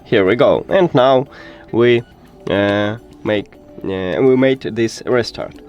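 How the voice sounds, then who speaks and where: A man presenting an unscripted step-by-step tech tutorial in simplified non-native English, halting often with filler sounds. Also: fairly low pitch, darkish tone, lo-fi sound, soft background music. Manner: hesitant, halting delivery with frequent filler sounds. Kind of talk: unscripted step-by-step how-to narration.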